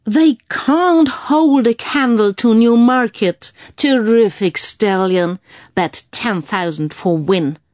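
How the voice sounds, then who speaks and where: Casting: female reader playing moneyed English gent, nonchalant racing connoisseur, very slow drawl, audio drama. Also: fairly high pitch, darkish tone, no echo, studio quality, pitched above the performer's own voice. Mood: nonchalant, confident. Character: wealthy, quirky English gentleman, keen horse-racing bettor.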